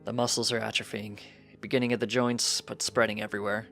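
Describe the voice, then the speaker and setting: A grave doctor pony, read by a man, speaking frankly in a measured, fairly low voice. The storybook figure is solemn and plain-spoken, with music underneath. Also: little pitch movement, a bit bright.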